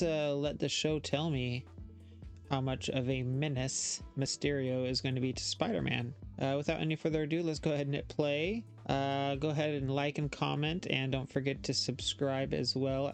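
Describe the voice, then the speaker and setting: A man giving casual reaction commentary as a host, often thinking aloud and hesitating. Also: mid-range voice.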